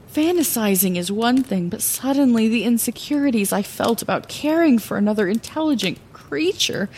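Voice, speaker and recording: A woman's voice: on the high side, slight background noise. A woman playing a scatterbrained, insecure but plucky heroine who tells her own story in a fantasy audio drama, often hesitant. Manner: flustered, hesitant, expressive. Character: scatterbrained, insecure, plucky lighthouse keeper and would-be bard.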